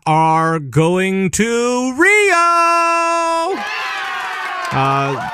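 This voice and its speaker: A man hosting a casual gaming podcast, animated and excitable, laughing often as he explains things. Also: fairly high pitch, background noise. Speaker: podcast host.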